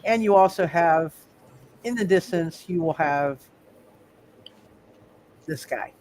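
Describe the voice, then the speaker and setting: Male game master, high voice, casual halting narration with repeated phrases, slightly noisy recording. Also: a little mellow. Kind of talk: live tabletop roleplay narration, casual.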